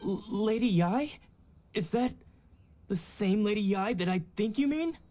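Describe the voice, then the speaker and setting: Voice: mid-range voice, lively intonation, unhurried, mellow, lightly acted. An actor voicing a polite, deferential young sword-school disciple in a video game, earnest and hesitant. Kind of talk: video game character acting.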